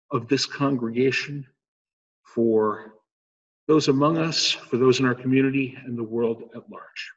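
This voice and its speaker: A middle-aged man leading a church service as pastor, speaking in a slow, measured liturgical way over a webcam. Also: mid-range voice, slightly rough. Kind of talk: church service prayers and announcements. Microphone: webcam video call. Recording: dull lo-fi webcam audio with long reverb.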